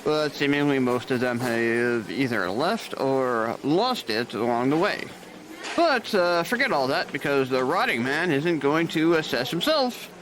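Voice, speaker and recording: A man's voice: mid-range voice, big pitch swings, a little slow, fairly bright tone, a little hiss or hum, low-quality recording. An actor playing a dry, sarcastic, burned-out male nurse showing a new hire around, in an audio drama. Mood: sardonic.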